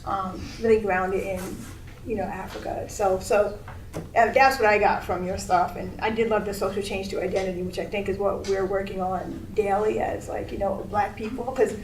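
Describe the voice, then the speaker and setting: A woman's voice: medium pitch, fairly even intonation, normal speed. A woman from the United States, a professor, talking casually on a panel and searching for her words. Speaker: cultural historian and professor on a panel. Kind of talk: informal panel discussion. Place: gallery room, picked up from a distance. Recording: echoey room, distant mic, background noise.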